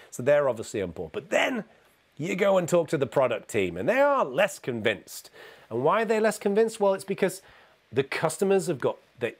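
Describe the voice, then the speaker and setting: A middle-aged man giving a conversational conference keynote from his home office, his voice gravelly and hoarse from a cold. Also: medium pitch. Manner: energetic, conversational, asks "okay?" often. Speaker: keynote speaker. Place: home office with neon sign.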